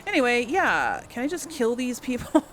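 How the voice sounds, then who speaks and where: A woman commentates casually on a video game as she plays, thinking out loud. Her voice sits fairly high and swings a lot in pitch. She sounds exasperated, and there is faint noise behind her. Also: huge pitch swings, moderate pace. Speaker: host playing a video game. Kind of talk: casual let's play commentary.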